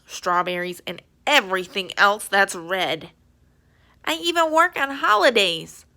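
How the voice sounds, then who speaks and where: A woman's voice: medium pitch, creaky, sharp tone, exaggerated voice. A woman voicing an overworked, exasperated red crayon in a children's storybook, complaining emphatically and wearily. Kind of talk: children's storybook read-aloud.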